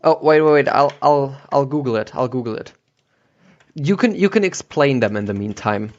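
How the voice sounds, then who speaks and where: A man's voice: medium pitch, expressive, normal speed. Male podcast host, mid-range voice, casual conversational interviewer, slightly muffled call-quality recording. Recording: dull lo-fi internet call audio.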